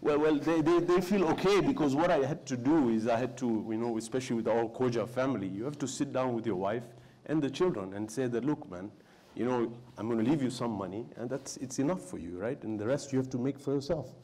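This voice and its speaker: A man in his forties speaks earnestly on a conference panel. His voice is soft and mellow, and he circles back over his own words as he builds his case. He is recorded on a lapel mic in an indoor hall. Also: mid-range voice, fairly quick. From Tanzania. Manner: earnest, repeats words when starting, emphatic. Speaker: panelist, businessman. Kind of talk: panel discussion.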